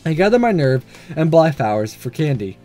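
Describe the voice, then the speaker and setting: A man reading a visual novel aloud and commenting on it in a playful, excitable, self-deprecating way. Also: a little high, normal speed. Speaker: gaming video host. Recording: music under the voice.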